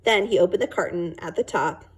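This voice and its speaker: Lady reading a kids book out loud, lowish husky voice, really animated. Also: brisk. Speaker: storyteller reading a children's book aloud. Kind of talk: expressive read-aloud narration.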